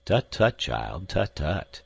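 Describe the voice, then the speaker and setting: A man's voice: very deep voice, animated, vocal fry, husky, no room sound, heavily acted. A man doing a mumbling absent-minded old professor. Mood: mild, muttering. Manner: soft, slow, mumbling to himself. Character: absent-minded elderly male professor, fussy and mild.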